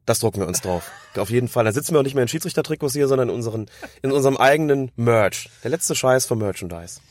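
A man, hoarse from a cold, giving long analytical explanations as a podcast co-host. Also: mid-range voice, animated, fast talker, darkish tone, no echo. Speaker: podcast co-host discussing refereeing. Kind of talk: casual podcast chat.

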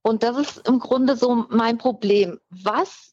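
A woman's voice: fairly high pitch, slow, a little mellow, breathy edge, dry recording. Chatty woman in her sixties on the phone. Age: around 60. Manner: chatty, laughing, searching for words. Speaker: caller. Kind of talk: phoned-in question. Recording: muffled phone line.

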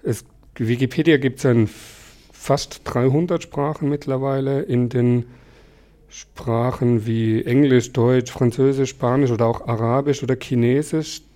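A man in his forties whose first language is Alemannic, a podcast guest explaining things calmly with frequent pauses and fillers. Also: medium pitch. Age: 40s. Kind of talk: conversational interview.

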